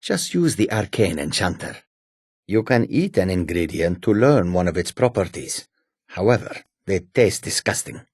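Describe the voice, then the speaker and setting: Deep, husky, self-assured catfolk adventurer. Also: male voice, no echo, clean studio sound, heavily acted. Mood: matter-of-fact. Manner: self-assured, formal, speaks of himself in third person. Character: proud, courteous male catfolk mage and warrior. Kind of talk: video game character dialogue.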